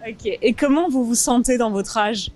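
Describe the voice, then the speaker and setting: Interviewer, female: friendly, fairly high voice, out on the street. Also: normal speed, darkish tone.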